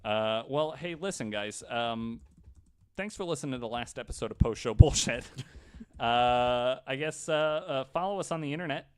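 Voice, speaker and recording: A man hosts a loose, casual chat show. He talks in an irreverent, bantering way and swears freely, with a voice of middling pitch. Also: moderate pace, clean tone. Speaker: podcast host.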